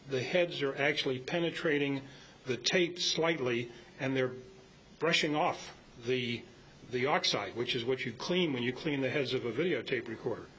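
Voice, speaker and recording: An older man gives a halting, anecdotal talk to a club meeting. His voice is a little high for a man. The live recording is dull, with faint noise behind him. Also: a bit bright. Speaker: club speaker presenting on audio tape. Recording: muffled, slightly noisy meeting-room recording.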